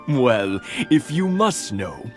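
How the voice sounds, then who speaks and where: A slow-talking, genial old villager. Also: male voice, medium pitch. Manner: leisurely, fond storytelling. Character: genial old village husband, fond and teasing. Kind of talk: video game voice acting. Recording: music under the voice.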